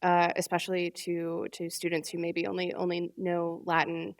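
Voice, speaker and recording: Michigan woman printmaker, low voice, earnest and halting. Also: monotone, gravelly. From Michigan, United States. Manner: earnest, many restarts and repeated words. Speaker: letterpress printer and educator. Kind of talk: panel discussion answers.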